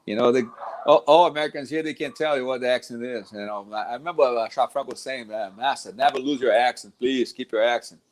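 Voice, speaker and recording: A man's voice: medium pitch, fast talker. Brazilian man rambling about jiu-jitsu teaching. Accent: Brazilian Portuguese. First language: Portuguese. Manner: rambling, restarts often, says "man" a lot. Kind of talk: casual talk about teaching.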